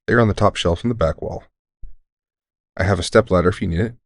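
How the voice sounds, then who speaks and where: A man voicing a deep, gravelly deranged elf shopkeeper. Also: quick pace, no room sound, studio quality. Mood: courteous. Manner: courteous, formal, unsettlingly calm salesman. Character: deranged centuries-old male elf shopkeeper, polite yet sinister. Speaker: voice actor in an audio roleplay. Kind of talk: scripted audio roleplay addressing the listener.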